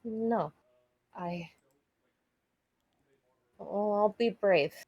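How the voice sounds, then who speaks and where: A woman voicing a timid, earnest, excitable innocent in a visual novel, hesitant and wide-eyed. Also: mid-range voice, drawn-out words, muffled recording. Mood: timid, brave.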